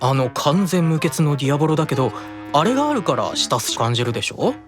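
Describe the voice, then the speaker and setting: A man playing a gentle, patient, softly teasing angel in an improvised audio drama, with a calm, soothing manner. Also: a little high, fast, music in the background. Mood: gentle, reasoning.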